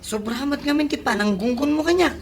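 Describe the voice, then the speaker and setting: A man's voice: very high voice, fast, slight background noise. A man with an Ilocano Filipino accent playing a hapless, sleepy young worker in a comedy radio drama. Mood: protesting. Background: background music.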